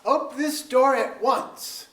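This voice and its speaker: A woman's voice: sits high, slow, fairly bright tone, exaggerated voice. A woman acting an ambitious, imperious noble lord in a staged play reading, with commanding, formal archaic speech. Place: museum auditorium. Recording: echoey auditorium.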